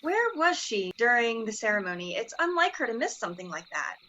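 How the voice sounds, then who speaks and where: A woman plays an encouraging young sorority sister in a dramatic reading. Her bright voice moves freely up and down, gentle but with authority, on a slightly dull recording. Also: a little high, very animated, fairly quick. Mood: inquiring.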